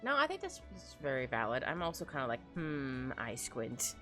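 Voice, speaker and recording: A chatty woman streamer commenting as she plays, often giggling and muttering to herself. Her voice swings up and down in pitch. Game music is clearly heard behind her. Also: huge pitch swings, normal speed. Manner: chatty, giggly, talks to herself while playing. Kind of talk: casual playthrough commentary.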